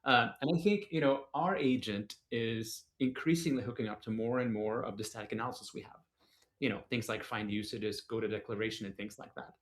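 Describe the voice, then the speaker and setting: A man who grew up in Norway, a guest on a tech podcast, explaining things in a relaxed way with many fillers. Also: lowish voice, fairly quick. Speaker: guest engineer. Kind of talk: casual tech podcast chat.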